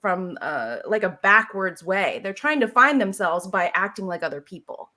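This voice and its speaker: A woman's voice: mid-range voice, normal speed, a crowd in the background. An American woman speaking as a podcast guest, telling stories casually with emphatic delivery and joking asides. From Seattle area, United States. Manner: animated, emphatic, jokey asides with acted-out quotes. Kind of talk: casual conversational storytelling.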